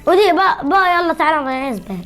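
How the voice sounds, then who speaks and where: A woman's voice: extremely high pitch, expressive, very fast, a bit bright, faint music behind. A woman with an Egyptian Arabic accent giving casual gameplay commentary, teasing and boasting as a channel host.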